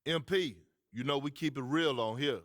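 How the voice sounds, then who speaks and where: A man's voice: medium pitch, normal speed, a bit bright, dry recording, studio quality, lightly acted. An actor playing a blunt male sports TV panelist who presses the guest with skeptical questions. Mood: probing. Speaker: sports talk show panelist. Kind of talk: video game cutscene voice acting.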